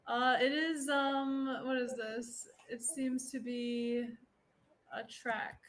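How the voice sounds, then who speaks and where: A woman's voice: sits high, level tone, takes it slowly. A woman chatting casually and informally as a podcast co-host. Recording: clearly audible background noise.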